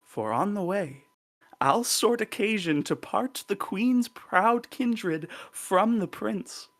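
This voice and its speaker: A woman playing a scheming courtly male duke in a Shakespearean radio play, with measured oratorical delivery. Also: mid-range voice, lively intonation. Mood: conspiratorial. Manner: measured, oratorical verse speech.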